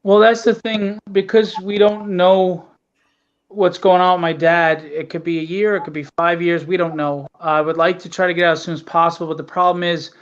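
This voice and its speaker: A man with a fairly high, smooth voice talks casually and candidly as an interview guest, explaining his work in an earnest way. The recording is dull and low in fidelity, like an online call. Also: little pitch movement, normal speed. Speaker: guest, comic book writer and publisher. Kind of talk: casual interview talk, plugging and explaining a project.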